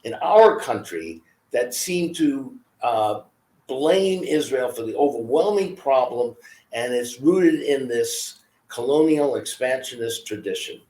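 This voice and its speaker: An older man hosting an interview over a video call from his home, asking halting, emphatic questions. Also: medium pitch, lively intonation, mellow. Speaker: host. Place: home room with bookshelves. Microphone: video call webcam. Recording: roomy video call audio.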